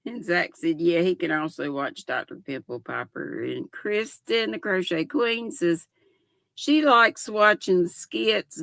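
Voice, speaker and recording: Older woman, folksy livestream host, slow unhurried talk, gravelly voice, dry close sound. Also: on the low side, a bit bright, dry recording. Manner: chatty, folksy, giggly, reads chat aloud. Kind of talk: casual chat reading viewer comments.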